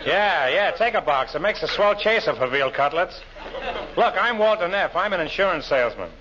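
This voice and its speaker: A man's voice: sits high, expressive, normal speed. An actor playing a brash, glib insurance salesman and comic schemer in 1940s radio comedy style. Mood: wisecracking. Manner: glib, wisecracking patter. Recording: noisy, muffled old radio recording.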